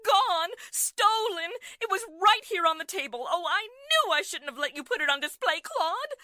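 A fretful, rich society matron played by a woman in an old-time radio play. She is very high and sharp, swooping dramatically through exclamations. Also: sing-song, moderate pace, no room sound, studio quality, heavily acted. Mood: distraught. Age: middle-aged. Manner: theatrical, exclamatory. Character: excitable, fretful wealthy society matron and mother. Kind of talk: 1940s radio drama acting.

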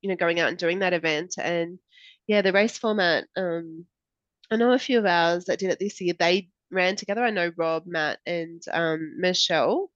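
A woman's voice: on the low side, moderate pace, no room sound, muffled recording. A woman in her forties with an Australian accent, co-hosting a casual running podcast, her voice nasal from a cold. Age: 40s. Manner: chatty, many fillers and laughs. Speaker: podcast co-host. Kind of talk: casual chat.

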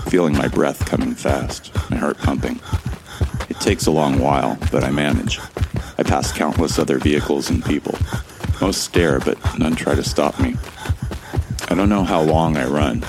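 Male actor as a grim lead narrator, very deep and gravelly. Audio drama. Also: moderate pace, noisy background. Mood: resolute. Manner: grim, resigned first-person telling. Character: determined young man running toward doom. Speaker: first-person narrator and lead character.

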